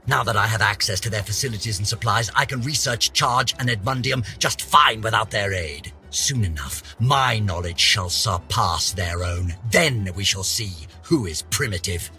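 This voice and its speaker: A man's voice: lowish voice, animated, moderate pace, hardly any pauses, bright, forceful tone, soft background music, full character voice. An actor voicing a pompous, vain older British scientist, theatrically narrating his journal for a game with haughty, florid melodrama. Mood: scheming. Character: pompous, vain, aging male scientist, indignant and obsessive.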